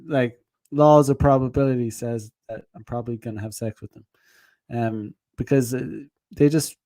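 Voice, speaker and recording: A man's voice: mid-range voice, steady tone, moderate pace, mellow, dry recording. A man giving dating advice on a casual stream, rambling with lots of fillers and frequent laughs. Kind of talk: casual rambling livestream talk.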